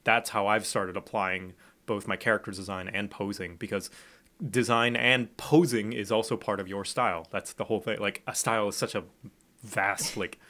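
A man who grew up in Thailand talks through his ideas on a casual podcast. His voice sits low, and he meanders thoughtfully, restarting phrases as he goes. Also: steady tone, moderate pace, pushed and bright. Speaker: podcast guest artist. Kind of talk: casual chat.

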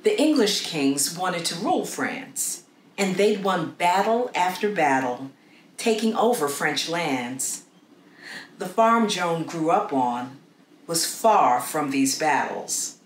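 A middle-aged woman reading a story aloud for children in an expressive, deliberate way with dramatic pauses. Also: fairly low pitch. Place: small indoor room against a plain wall. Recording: roomy echo with faint background noise.

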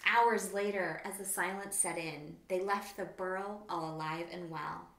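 A woman's voice: on the low side, steady tone, unhurried, creaky, a bit bright. Woman reading a storybook, roomy echo. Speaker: children's author reading her book. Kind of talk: expressive children's storybook read-aloud. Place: small plain room indoors. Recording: roomy, echoing indoor room.

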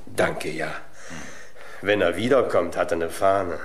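An actor playing a grumbling, bedridden hospital patient, colloquial and grumpy, in a German radio crime play. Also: male voice, deep voice, measured pace, a little hiss or hum. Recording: roomy, echoing sound.

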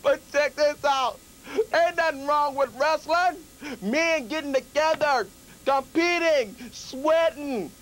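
American guy hosting a goofy call-in show, slow high-pitched jive drawl, really over the top. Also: low-quality recording. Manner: exaggerated, animated, drawling playful jive. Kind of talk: comic live phone-in banter. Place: small studio with acoustic foam walls. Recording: low-fi tape, some rumbly noise.

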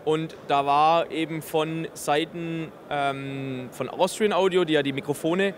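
A man in his thirties with a noticeably high voice presents a product as an exhibitor. He explains technical details with enthusiasm, speaking into a handheld interview microphone. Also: fairly even intonation, a little slow, slight background noise. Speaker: exhibitor presenting a loudspeaker system. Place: busy trade fair booth in a large hall. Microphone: handheld interview microphone held by interviewer.

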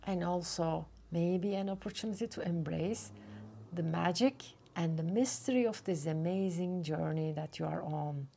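A woman leading a guided relaxation meditation as a yoga nidra teacher, speaking gently and unhurriedly with long pauses. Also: fairly low pitch, gravelly.

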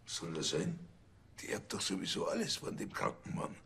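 A gruff, stingy cop with a very deep, gravelly voice. He talks bluntly and grumbles about money in a TV crime comedy. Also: wildly swooping pitch, brisk, a bit bright, a little hiss or hum, full character voice. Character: gruff, stingy, hypochondriac male Bavarian cop.

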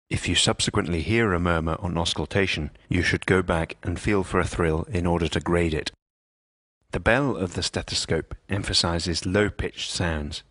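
A man reading scripted medical instruction in a measured, precise, impersonal manner. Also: low voice, a bit bright, no echo, studio quality. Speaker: instructional narrator.

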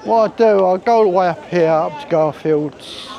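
Cockney vlogger, high voice, rambling street-tour patter over crowd chatter, camera mic. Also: male voice, moderate pace, gravelly, dull audio. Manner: chatty, thinking aloud as he strolls. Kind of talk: walking tour commentary. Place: outdoor resort streets. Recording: busy street crowd behind.

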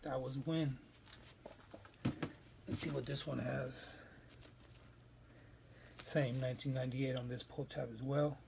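A man of ordinary pitch riffs casually on a pair of sneakers, full of slang and loose asides. He sounds close to a handheld phone in a cluttered storage room. A faint hiss sits under the voice. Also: flat delivery, measured pace, creaky, pauses often. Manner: loose, rambling slang-heavy talk. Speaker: sneaker reviewer. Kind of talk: casual unboxing vlog. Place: cluttered room stacked with shoe boxes.

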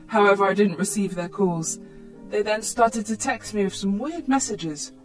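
Storytime narrator: woman, mid-pitched, scripted first-person dramatic read over light music. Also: normal speed.

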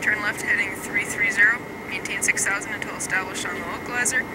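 A man's voice: high-pitched, fairly even intonation, quick pace. A man as an air traffic controller giving clipped, businesslike procedural radio instructions. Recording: radio call under loud aircraft noise.

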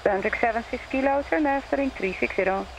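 A man's voice: extremely high pitch, fast talker. A man working as an air traffic controller, giving clipped, formulaic radio instructions to aircraft. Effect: radio transmission. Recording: noisy cockpit radio feed.

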